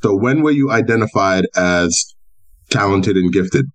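A man with a lowish voice hosts a podcast interview. He talks casually and playfully, telling stories with plenty of fillers. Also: measured pace. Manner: playful storytelling, lots of fillers. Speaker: podcast host.

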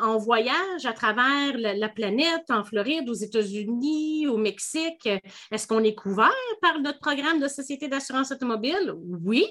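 A woman's voice: on the high side, fairly bright tone. A woman with a Quebec French accent, hosting a legal podcast in a chatty, explanatory way. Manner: chatty, explanatory, quick restarts. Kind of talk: casual legal podcast chat.

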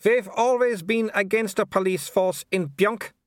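A man's voice: high-pitched, measured pace, dry recording, studio quality, pitched above the performer's own voice. Seraphine, a man voicing a haughty baroness. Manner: prim, clipped and icily polite. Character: haughty, falsely sweet aristocratic baroness, middle-aged woman.

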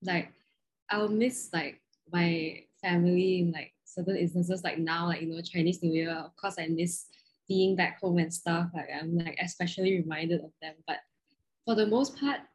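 Husky young woman, rambling casual chat. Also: fairly low pitch, steady tone, moderate pace, rough recording. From Malaysia. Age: young adult. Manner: rambling, heavy use of "like". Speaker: podcast co-host.

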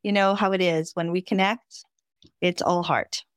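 A woman in her fifties from the Dominican Republic, Spanish her first language, speaks in a fairly low, dry-sounding voice. She tells family stories vividly and often checks in with a quick "right?". The setting is a relaxed podcast conversation. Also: dry recording. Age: 50s. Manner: lively, vivid storyteller with frequent "right?" asides. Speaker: podcast guest, physician. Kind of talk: casual conversation, personal storytelling.

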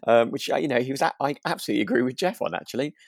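A man with an English accent, from near Birmingham, co-hosting a relaxed podcast chat and often rephrasing mid-sentence. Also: mid-range voice, racing, vocal fry, no echo, clean studio sound. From near Birmingham, England. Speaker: podcast co-host.

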